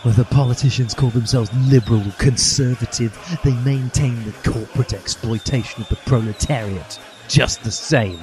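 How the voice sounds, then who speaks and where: A man's voice: mid-range voice, normal speed, a little mellow, a little hiss or hum. A man reading a parody story in a mock-earnest, emphatic way, occasionally tripping over lines. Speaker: podcast reader of parody fan fiction.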